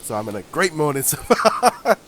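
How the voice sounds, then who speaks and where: A man's voice: on the high side, sing-song, moderate pace, fairly bright tone. Congested man co-hosting a chat show. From England. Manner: chatty, laughs often, frequent ums. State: congested from a head cold. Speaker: podcast co-host. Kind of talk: casual chat.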